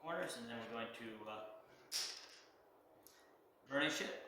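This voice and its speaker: A man in his thirties giving a casual hands-on tutorial, speaking haltingly with pauses mid-sentence. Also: mid-range voice, animated. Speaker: leathercraft instructor. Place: large indoor workshop with concrete floor. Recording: echoey roomy sound, clear background noise.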